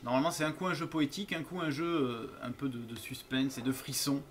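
A man's voice: mid-range voice, steady tone, fairly quick, a crowd in the background. A man commenting casually and playfully while he plays a game, joking and laughing often. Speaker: streamer playing a horror game.

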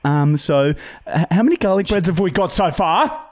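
A man's voice: high voice, expressive, normal speed, a little mellow. Guy doing an aussie lady ordering pizza, polite n rambly, voice swoops about. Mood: dithering, chatty. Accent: Australian. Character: chatty, dithering female pizza customer on a prank call.

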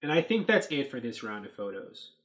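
A man's voice: mid-range voice, big pitch swings, a little fast, fairly bright tone. Rambling man musing at his webcam. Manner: rambling, thinking aloud with many fillers. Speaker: host. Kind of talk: casual commentary on set photos. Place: small dark room indoors.

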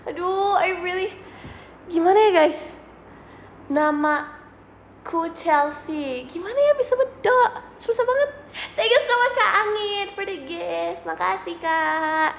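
Giggly high-voiced young woman livestreaming. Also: slow pace, vocal fry. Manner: playful, giggly, mixes English phrases into her talk. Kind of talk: casual livestream chat with viewers. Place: small indoor room, seated at a desk. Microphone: phone or webcam in front of her. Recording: roomy echo, faint room hiss.

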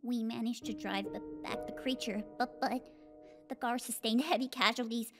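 A woman acts out an anxious reptilian lady scientist from a comic dub. Her voice is high and timid, tripping over words in nervous stammers. She sounds gentle and pleading, with music playing behind her. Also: steady tone, normal speed, close to an everyday voice.

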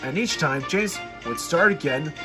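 A man reading a children's story aloud, haltingly, often repeating and correcting his words. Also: a little high, animated, music in the background. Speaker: storybook reader.

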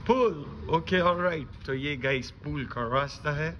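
Give me a chatty young man vlogging through a hotel, recorded on a dull phone mic with clear background noise. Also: on the high side, a little slow, pushed and bright, big-room sound. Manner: chatty, playful, joking with his friend. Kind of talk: casual vlog narration. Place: hotel corridors and gym, indoors. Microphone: phone camera held by hand.

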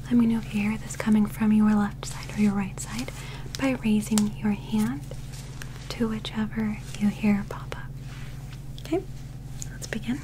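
A young woman whispering gently and unhurriedly in an ASMR medical roleplay, playing an examiner close to the microphone. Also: medium pitch, fairly even intonation, moderate pace, pauses often, noisy background. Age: young adult. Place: small indoor set.

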